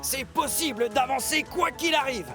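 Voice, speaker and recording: A man's voice: extremely high pitch, moderate pace, music in the background. A man voicing a cheerful, earnest, loyal steam locomotive in a children's cartoon dub. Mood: cheerful, chummy.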